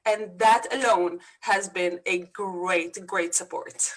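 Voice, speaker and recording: A woman's voice: fairly low pitch, fairly even intonation, takes it slowly, poor audio. A woman from Lebanon with a Lebanese accent, a business coach speaking earnestly and energetically as a guest in a video-call interview.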